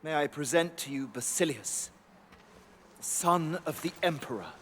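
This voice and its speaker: An actor playing a formal Roman herald in a video game, declaiming ceremoniously to announce royalty. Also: male voice, on the high side, measured pace, fairly bright tone. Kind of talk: video game cutscene acting. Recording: noisy ambience behind voice.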